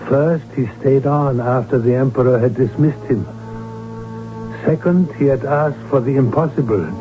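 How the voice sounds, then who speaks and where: Male actor as grave physician narrator, radio drama, measured, formal, tinny recording, music behind. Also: medium pitch, lightly acted. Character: archduke's grave physician friend, narrating the story. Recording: narrow-band old radio drama, music.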